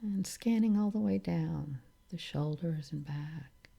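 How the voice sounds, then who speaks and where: A woman teaches meditation in a low, very mellow voice with a creaky edge. She guides calmly and soothingly, leaving long pauses between short phrases. Her delivery stays unhurried and relaxed.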